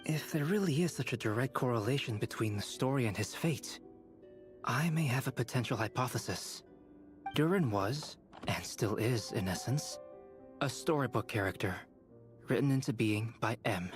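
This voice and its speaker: An actor playing a composed young male scholar in a video game, measured, formal and precise as he explains. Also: mid-range voice, expressive, soft background music, natural voice. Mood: thoughtful, composed.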